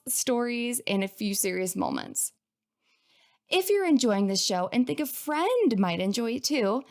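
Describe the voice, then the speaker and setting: A woman with a fairly high voice presents as a podcast host. She reads a scripted introduction with informal, playful phrasing. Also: a little mellow.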